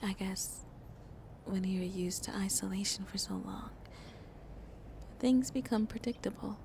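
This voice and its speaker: A woman playing a shy, lonely vampire in an intimate ASMR roleplay, speaking softly and hesitantly, often half whispering. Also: medium pitch, slight background noise. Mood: wistful, vulnerable. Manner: slow, hesitant, halting, soft and breathy. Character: shy, lonely female vampire on a tender first date.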